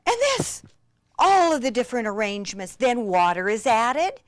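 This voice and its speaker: Gushing, very animated woman demonstrating crafts. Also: a little high, moderate pace, no echo. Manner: gushing, breathlessly excited, with frequent gasps and exclamations. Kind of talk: enthusiastic hands-on craft demonstration.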